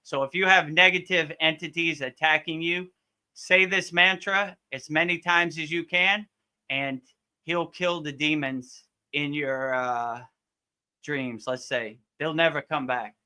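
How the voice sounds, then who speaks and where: A man with a strikingly bright, forward voice and a clean, smooth tone, pitched a little high. He talks informally as a teacher taking questions live, often circling back to restate what he has said. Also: fairly even intonation, measured pace. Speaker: yoga teacher hosting a live Q&A. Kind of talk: casual live Q&A chat.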